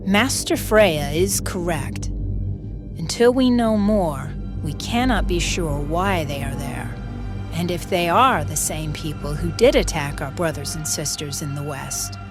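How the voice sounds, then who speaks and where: Low-pitched, authoritative temple elder. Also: female voice, measured pace, music in the background. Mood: cautious, firm. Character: cautious, authoritative female master guide of a secluded temple. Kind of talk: audio drama acting.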